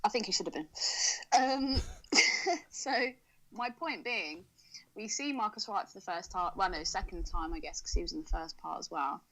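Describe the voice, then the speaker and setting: A woman's voice: fairly low pitch, moderate pace, pushed and bright, slight background noise, low-quality recording. A woman co-hosting a podcast, chatting casually about films with teasing, sweary banter. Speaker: podcast co-host. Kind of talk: casual film chat.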